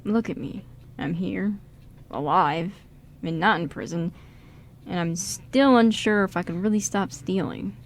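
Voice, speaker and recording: A woman's voice: on the low side, a little slow. Woman as a guarded, hesitant male con artist. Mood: self-doubting. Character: guarded, self-doubting male con artist, softening toward friend. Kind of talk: amateur radio drama script reading. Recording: background noise in amateur radio recording.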